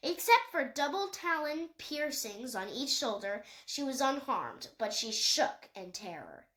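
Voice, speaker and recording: A woman's voice: high voice, very animated, a little slow, a bit bright. A woman reading a children's animal novel aloud as scripted storybook narration. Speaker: audiobook reader.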